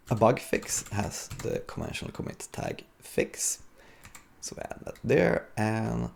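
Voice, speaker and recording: A man presenting a live coding demo in a low, heavily creaky voice. His delivery is husky and moves around a good deal in pitch. He talks informally, hesitating and thinking aloud as he works. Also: a little mellow, a little hiss or hum. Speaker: software developer giving a conference talk.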